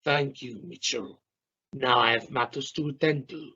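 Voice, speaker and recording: A man's voice: mid-range voice, gravelly, pushed and bright, slightly rough, exaggerated voice. Dull-sounding, brusque scientist, acted by a man. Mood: formal, resolute. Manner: formal, measured, slightly halting phrasing. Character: brusque male Russian space scientist and mission captain. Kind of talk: scripted radio play interview. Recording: dull lo-fi recording.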